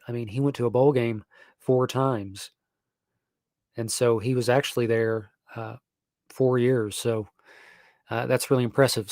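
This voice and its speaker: A young man, hosting a sports stream at an even, middle pitch. He rambles along with fillers and restarts. The close mic sounds dry, with no room around it. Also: no echo. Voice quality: nasal. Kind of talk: casual live-stream talk.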